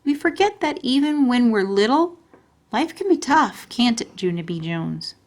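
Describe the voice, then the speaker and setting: A woman voicing a kindly, tired male school principal from a storybook, speaking in a measured, patient way. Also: fairly high pitch, sing-song, voice pushed up. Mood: sympathetic. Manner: measured, patient grown-up way of talking. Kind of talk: children's storybook character reading.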